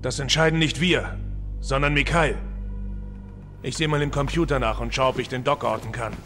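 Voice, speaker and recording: A man's voice: mid-range voice, fairly even intonation, normal speed, fairly bright tone, soft background music, lightly acted. An actor playing a focused, dutiful male soldier and tech specialist in an audio drama. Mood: firm, practical.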